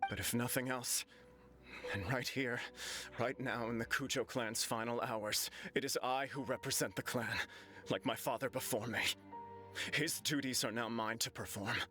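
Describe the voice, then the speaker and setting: A man's voice: medium pitch, steady tone, normal speed, sharp tone, lightly acted. Actor as remorseful young nobleman, formal and solemn, game music behind. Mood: resolute. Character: remorseful young nobleman, earnest and self-doubting. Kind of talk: game dialogue voice acting.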